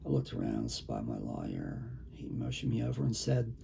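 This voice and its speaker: Very gravelly, creaky-voiced man. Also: rumbling low, huge pitch swings, warm tone, slight background noise. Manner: halting, rambling, conversational. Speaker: poet reading own work. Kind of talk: casual talk and poetry reading.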